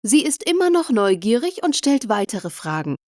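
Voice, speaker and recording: A woman's voice: fairly high pitch, sing-song, moderate pace, darkish tone, dry recording. Woman reading a simple story, dry studio sound. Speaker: narrator of language-learning stories. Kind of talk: scripted narration of a simple story for German learners.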